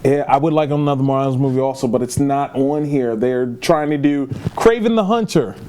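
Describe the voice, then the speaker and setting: A man's voice: medium pitch, a little fast, mellow, slight background noise. A man co-hosting a geek news podcast, talking casually and chattily.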